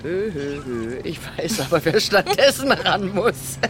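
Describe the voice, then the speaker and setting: A woman's voice: lowish voice, sing-song, unhurried, a crowd in the background. An actress playing a brash, teasing woman getaway driver in a radio play, wry, mouthy and colloquial. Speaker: actress in an audio drama. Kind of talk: naturalistic radio play dialogue.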